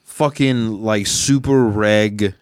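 Deep-voiced rambling young man. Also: steady tone, takes it slowly, clean tone, no room sound, clean studio sound. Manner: rambling, self-deprecating, swears casually. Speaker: podcast host, stand-up comedian. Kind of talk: casual podcast chat.

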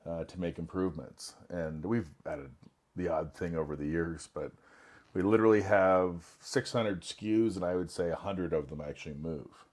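Man, raspy, hoarse, very deep, halting with fillers, casual call. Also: a little mellow. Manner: halting, many fillers and restarts. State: hoarse, recovering from illness. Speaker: business owner on a group call. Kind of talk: casual group video call.

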